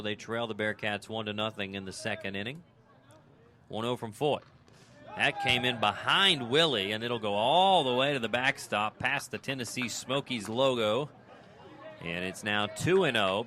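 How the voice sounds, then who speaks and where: An American man doing live radio play-by-play of a baseball game, in clipped descriptive phrases that turn conversational between pitches. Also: normal speed, bright, forceful tone. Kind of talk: live sports commentary.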